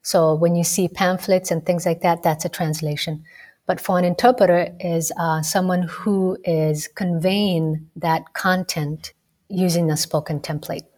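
A woman from Kenya with a fairly low voice talks through her answers in a measured, explanatory way. She is a medical student in her thirties, giving an interview and pausing now and then on small fillers. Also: steady tone, darkish tone. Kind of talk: radio interview conversation.

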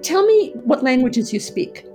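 A woman hosting a program, reading scripted narration and asking interview questions in a steady, deliberate way. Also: high-pitched, soft background music. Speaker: radio host and interviewer.

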